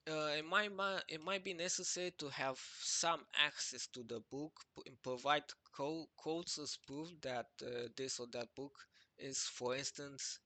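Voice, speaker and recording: A young adult man, a native Romanian speaker, presenting his database project over a screen walkthrough, talking haltingly with many fillers and restarts. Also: medium pitch, bright, forceful tone, no room sound. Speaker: project creator presenting a website. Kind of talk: screen-recorded walkthrough narration.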